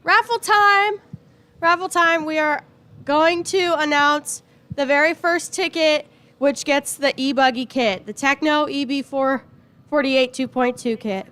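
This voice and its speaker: A woman with a notably high voice runs a raffle for a gathered crowd outdoors. She is casual and playful, teasing the winners and drawing out each ticket number. Her calls are loud enough to reach people at the back. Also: unhurried. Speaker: raffle announcer at a race event.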